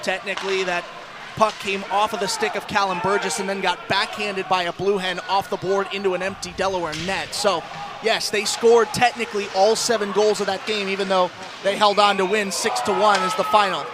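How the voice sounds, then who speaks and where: High-voiced man calling hockey play-by-play. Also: brisk, background noise. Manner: excitable, raises voice to a shout on chances and goals. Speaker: play-by-play announcer. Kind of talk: live ice hockey radio commentary. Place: ice hockey rink.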